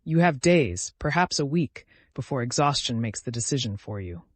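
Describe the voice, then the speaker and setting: Man as exhausted scholar, patient adviser, grave, fantasy audiobook, lively intonation, dry recording. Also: mid-range voice, normal speed, no room sound, close to an everyday voice. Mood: cautioning.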